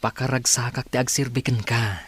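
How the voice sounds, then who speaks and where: A man's voice: medium pitch, steady tone, moderate pace, lightly acted. An actor with a Filipino accent playing an attentive young man in a radio drama. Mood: friendly, tender. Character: attentive young man courting his friend.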